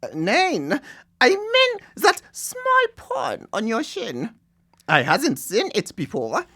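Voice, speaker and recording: An actress playing an excitable foreign doctor of science in a live radio drama, with halting phrases and grand proclamations. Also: female voice, fairly high pitch, exaggerated voice. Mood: awed, halting. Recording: echoey live stage broadcast, faint crowd.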